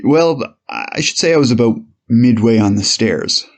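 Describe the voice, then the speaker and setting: A man playing an 1890s police officer on the witness stand, giving measured, formal, slightly hesitant testimony in a dramatized courtroom reading. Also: medium pitch, animated.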